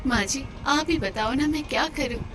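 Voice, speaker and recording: A woman voicing a gentle, polite, pleading woman of a troubled household in a cartoon story. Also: high-pitched, moderate pace, music in the background, lightly acted. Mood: distressed. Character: gentle, distressed, placating women of a troubled household. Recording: clear background noise under voice.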